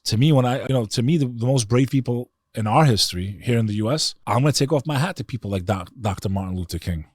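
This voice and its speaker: A man with a very deep voice and a New York accent, talking earnestly and bluntly in an interview with loose slang. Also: lively intonation, fast, hardly any pauses, husky, no echo, studio quality. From United States. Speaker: guest. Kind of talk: casual interview talk.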